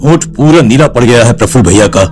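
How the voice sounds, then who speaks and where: A man's voice: mid-range voice, expressive, quick pace, darkish tone, faint music behind, natural voice. A man playing worried village men in a dramatised moral story, anxious and dramatic.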